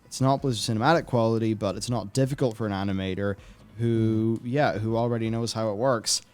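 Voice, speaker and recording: A man's voice: fairly low pitch, fairly even intonation, brisk, darkish tone, smooth. A man hosting a casual gaming discussion, reading and answering viewer comments in a rambling way as he thinks aloud.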